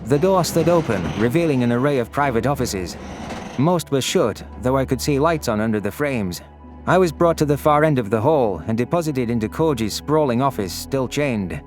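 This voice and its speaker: A man's voice: medium pitch, lively intonation, normal speed, darkish tone, faint music behind. A man reading scripted first-person science fiction narration as a story narrator. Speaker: narrator of audio stories.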